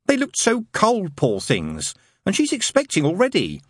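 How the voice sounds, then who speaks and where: A man's voice: high voice, huge pitch swings, measured pace, a bit bright, slightly rough, dry recording, clean studio sound, pitched above the performer's own voice, exaggerated voice. A man voicing a fussy, anxious male angel who talks in a prim, hesitant, apologetic way. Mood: flustered.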